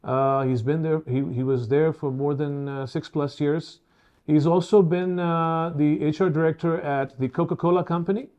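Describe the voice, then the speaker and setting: A man in his fifties hosting a semi-formal webinar, speaking in halting, formally worded phrases. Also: a little high, steady tone, a little mellow, lo-fi sound. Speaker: webinar host.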